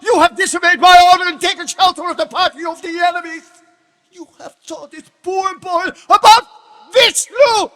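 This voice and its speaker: A man's voice: extremely high pitch, fairly even intonation. A man playing a tyrannical demon king and father in a theatrical, declamatory dramatic reading. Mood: furious outrage. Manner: theatrical, declamatory, swinging from slow and soft to shouting. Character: tyrannical demon king, a father, fondly indulgent then furious. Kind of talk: dramatic scripture reading on stage. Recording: echoey hall with long reverb.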